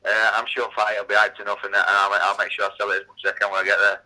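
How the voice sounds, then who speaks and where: A man of about thirty with a Yorkshire accent talks as a guest in a casual interview. His voice is very bright and forward, and he often repeats a word or two before he finishes a thought. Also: lowish voice, completely flat delivery, racing, low-quality recording. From England. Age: 30s. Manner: repeats words, stammering restarts. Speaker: guest fighter.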